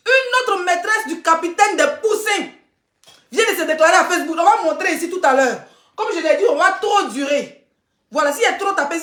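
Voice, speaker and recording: A woman's voice: sits high, a bit bright, reverberant. A woman, hosting a rambling gossip live stream and often laughing mid-sentence. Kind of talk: casual live-stream commentary.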